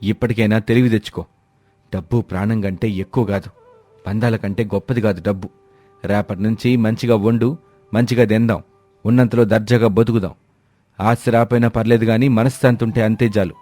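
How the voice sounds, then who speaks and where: A man's voice: lowish voice, steady tone, fairly quick, mellow, faint music behind, natural voice. A man playing an earnest village husband who is respectful to elders and admonishing toward his wife in a moral story. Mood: reproachful. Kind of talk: dramatised Telugu moral story acting.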